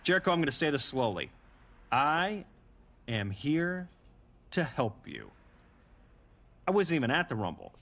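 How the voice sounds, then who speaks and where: A man's voice: medium pitch, huge pitch swings, very fast, few pauses, pushed and bright, heavily acted. A man playing a brash, impatient wrestling boss in a video game, with an emphatic, condescending way of talking. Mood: stern, threatening. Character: brash, impatient male wrestling boss throwing his authority around. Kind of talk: video game story-mode voice acting.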